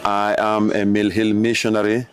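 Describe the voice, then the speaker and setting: Low-voiced Ugandan priest in his forties, slow and hesitant, faint hiss. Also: completely flat delivery. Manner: slow, halting, many fillers. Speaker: missionary priest. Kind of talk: interview answers.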